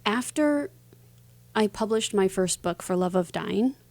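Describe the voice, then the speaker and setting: A woman's voice: mid-range voice, animated, unhurried, darkish tone, dry recording. A woman author speaking casually as a guest in an interview.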